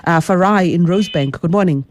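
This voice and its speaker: A woman's voice: lowish voice, brisk, darkish tone. A woman hosting a live radio phone-in. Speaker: radio talk show host. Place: radio studio. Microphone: close studio microphone.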